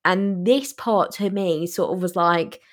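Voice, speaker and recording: A woman's voice: fairly low pitch, a little slow. A woman co-hosting a podcast, chatting casually and enthusiastically about new albums and songs, laughing often. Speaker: podcast co-host. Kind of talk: casual music review chat.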